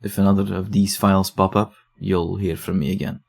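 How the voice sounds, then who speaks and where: A man playing a sombre investigator, narrating case files in a measured, deadpan way for a horror audio drama. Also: low-pitched, creaky. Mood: wry, unsettled.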